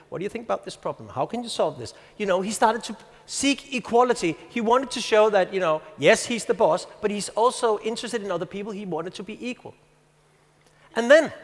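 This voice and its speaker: Danish man, 50s, high voice, conference speaker, storytelling delivery, reverberant hall. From Denmark. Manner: storytelling, reads a passage aloud with pauses. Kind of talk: presentation to an audience.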